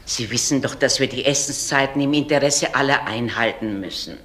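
An actress playing a strict, sarcastic retirement home matron, prim and reproachful, in a German crime radio play. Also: female voice, very deep voice, fairly quick, a bit bright. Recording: echoey room, faint noise.

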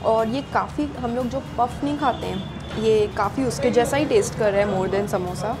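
A woman's voice: fairly high pitch, normal speed, over music. A young woman, reviewing street food in a casual vlog, chatting while she eats, recorded on a lapel mic in busy food shops. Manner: chatty, mixing Hindi and English, tasting on camera. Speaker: food vlogger.

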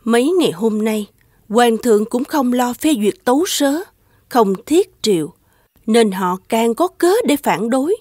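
A woman voicing a shrewd, scheming empress in an animated fairy tale, speaking in a measured, courtly way. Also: fairly high pitch, no room sound, clean studio sound. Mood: persuasive. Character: shrewd, suspicious, scheming empress, soft-spoken to her emperor. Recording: music playing behind the voice.

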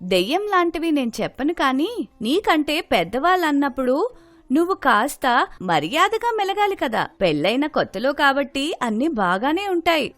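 A woman's voice: high-pitched, a bit bright, dry recording, heavily acted. A woman playing a stern, principled middle-aged mother, admonishing and emphatic, in a story.

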